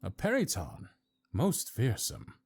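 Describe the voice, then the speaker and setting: A man playing a stern, haughty old high councilor of a fantasy realm in an audio roleplay, speaking in formal, archaic, deliberate phrases. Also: huge pitch swings, creaky, a little mellow, slightly rough, no echo, clean studio sound, full character voice. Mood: wry.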